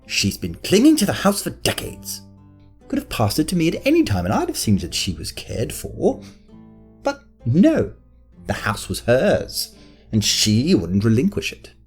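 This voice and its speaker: A man's voice: medium pitch, huge pitch swings, a little slow, slightly rough, soft background music, exaggerated voice. An actor playing a spoiled, arrogant nobleman who talks in a haughty, self-pitying way. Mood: petulant. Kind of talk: fan audio drama acting.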